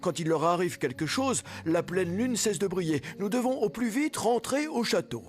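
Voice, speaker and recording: A man's voice: high voice, big pitch swings, moderate pace. A man playing a learned, worried dwarf leader in a children's cartoon, explaining things in a slightly fussy way. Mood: puzzled, concerned.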